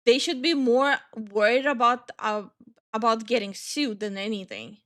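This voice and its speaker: A woman's voice: fairly high pitch, a little slow, fairly bright tone. A woman from Estonia hosting a casual live stream, ranting heatedly, swearing often, and greeting viewers in chat. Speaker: streamer and channel host.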